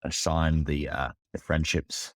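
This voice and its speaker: A man's voice: a bass voice, little pitch movement, a little slow, no echo, clean studio sound. A man hosting an investing podcast, reading an upbeat scripted intro and then asking chatty questions. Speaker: podcast host. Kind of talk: hosting an interview.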